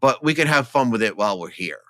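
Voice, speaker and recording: A man co-hosts a film discussion with a lively, swooping delivery. His voice is bright and forward, recorded close and dry in a studio. He speaks casually and emphatically, with clear enthusiasm. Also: medium pitch, fast talker, dry recording. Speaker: film podcast co-host. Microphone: studio microphone, close.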